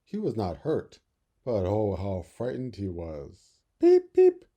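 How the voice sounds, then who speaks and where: Very mellow, animated male storyteller. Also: on the low side, slow. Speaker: storybook reader. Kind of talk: expressive children's story narration.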